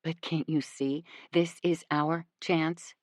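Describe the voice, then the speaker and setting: A woman voicing a defensive young woman who answers quietly and calmly in a storybook reading. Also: low voice, unhurried, no echo, clean studio sound. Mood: subdued.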